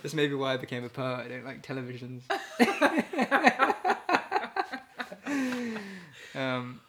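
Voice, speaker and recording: Male poet guest, mid-range voice, musing and unhurried, trails off and restarts, laughs often. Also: medium pitch, big pitch swings, a crowd in the background. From Ecuador and England. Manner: thoughtful, hesitant, many fillers and restarts, laughs easily. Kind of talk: casual reflective conversation.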